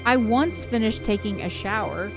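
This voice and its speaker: A woman reading a visual novel aloud as a let's play narrator, in an expressive first-person storytelling manner. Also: on the high side, normal speed. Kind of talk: live read-aloud of a story game, with casual asides. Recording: music behind the voice. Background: game soundtrack music.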